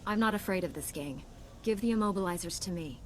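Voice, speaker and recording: A confident warrior heroine from a space game, played by an actress. Her voice is cool, medium in pitch, and trails long reverb. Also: quick pace, a little hiss or hum, lightly acted. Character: cool, confident female Jedi knight hero. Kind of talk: video game dialogue.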